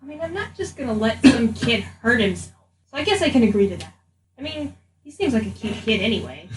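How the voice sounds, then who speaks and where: A woman's voice: fairly high pitch, sing-song, fairly quick. A woman voicing a flippant, self-interested magic item with a casual, sardonic manner. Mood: bargaining, grudging. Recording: noisy, echoey room, distant mic.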